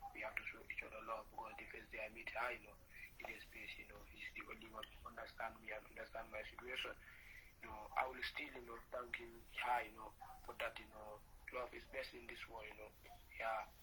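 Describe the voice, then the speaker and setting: A man's voice: lowish voice, completely flat delivery. A man from Senegal calling into a live audio chat, talking casually and leaning constantly on "you know". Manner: leans on "you know" constantly, soft and halting. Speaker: caller. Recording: noisy phone-line audio.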